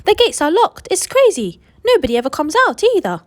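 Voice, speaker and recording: A woman voicing a crowd of excited townspeople, shouting in loud, raised voices. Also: high voice, a little fast, pitched above the performer's own voice.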